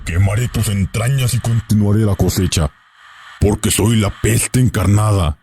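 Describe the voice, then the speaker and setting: An actor playing a menacing demonic god of plague from underground, speaking slowly and ominously in an audio drama. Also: male voice, on the low side, noisy background, natural voice. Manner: slow, ominous, threatening.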